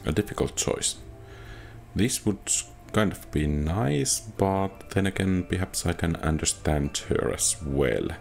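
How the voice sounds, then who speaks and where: A man giving slow, thoughtful commentary while role-playing a video game. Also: low voice, animated, creaky, darkish tone, faint music behind. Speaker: gamer narrating a role-play playthrough. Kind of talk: casual let's-play commentary.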